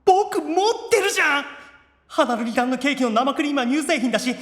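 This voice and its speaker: A man playing a whiny, excitable young demon otaku in an anime audio drama, dramatic and easily flustered. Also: very high-pitched, brisk, no echo, studio quality. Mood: panicked, possessive.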